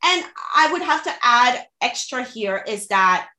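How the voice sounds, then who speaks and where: A woman's voice: on the high side, lively intonation, measured pace, bright, forceful tone. A woman from Haiti with a Haitian accent, a native French and Creole speaker, giving frank, motivational coaching advice in conversation. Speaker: social media coach, interview guest. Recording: roomy, echoing sound.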